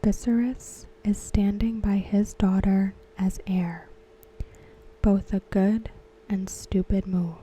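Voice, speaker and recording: A woman whispering softly as an ASMR host, talking through a TV episode. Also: medium pitch, fairly even intonation, slow, breathy edge, poor audio. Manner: whispered, unvoiced and close. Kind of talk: soft-spoken ASMR commentary.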